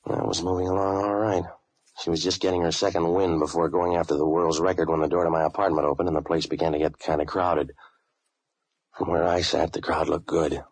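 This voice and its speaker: A tough waterfront gumshoe from a 1940s radio drama. His voice sits very low and rough-edged, husky throughout. He delivers cynical wisecracks deadpan, like someone weary of the world. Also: a little fast, no room sound, poor audio. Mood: deadpan, wry. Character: cynical, tough male waterfront boat renter for hire. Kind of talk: 1940s hard-boiled radio detective acting, first-person narration.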